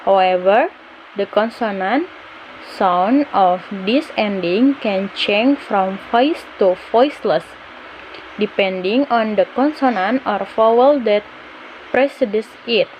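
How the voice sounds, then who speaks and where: Young female student presenter, drawn-out and halting slide reading, slight background noise. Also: medium pitch, darkish tone, low-quality recording. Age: young adult. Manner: halting, broken phrasing. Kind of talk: scripted lesson narration, reading slides.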